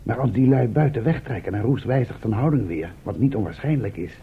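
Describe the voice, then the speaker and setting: An actor playing a sensible, decisive adventurer in a radio drama. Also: male voice, medium pitch, animated, a little fast, creaky. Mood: businesslike. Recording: dull, noisy old radio recording.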